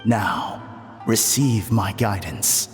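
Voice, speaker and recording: A man's voice: fairly low pitch, extremely slow. A man playing a solemn, self-important spiritual guru in a video game, grandiose and oracular, with a long echo on the voice. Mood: solemn, commanding. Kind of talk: dramatic video game voice acting. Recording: long echoing reverb effect. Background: music.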